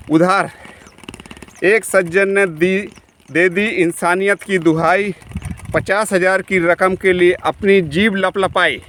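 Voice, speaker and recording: High-voiced man reciting poems outdoors. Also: a bit bright, a little hiss or hum. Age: 40s. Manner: measured, rhythmic reading with pauses. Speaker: poet. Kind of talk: poetry recitation while cycling.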